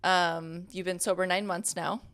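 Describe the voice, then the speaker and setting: A woman's voice: on the low side, fairly even intonation, unhurried, a bit bright. A woman hosting an interview, asking questions in a chatty way with fillers. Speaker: interview host.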